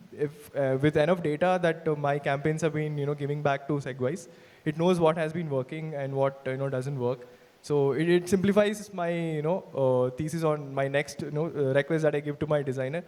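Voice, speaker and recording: A man's voice: fairly high pitch, a little mellow. A man talking on stage in a large event hall, his slightly raised voice carrying a long reverb from the hall PA. He speaks hesitantly and fills his sentences with fillers as he explains his marketing work. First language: not Hindi. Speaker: performance marketer. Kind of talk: stage talk.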